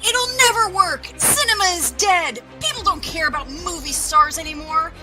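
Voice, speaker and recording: A woman's voice: extremely high pitch, expressive, moderate pace, music in the background. A woman voicing a defiant, mocking heroine in an emphatic cartoon table read. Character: defiant young heroine mocking a fading star.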